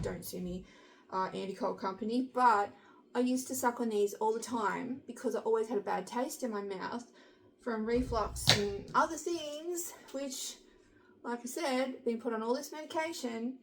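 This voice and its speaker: Husky, nasal-voiced woman chatting frankly. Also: on the high side, poor audio. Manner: rambling, frank, self-deprecating, swears casually. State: hoarse from being sick. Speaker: vlogger. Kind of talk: casual chatty vlog. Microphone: handheld phone camera.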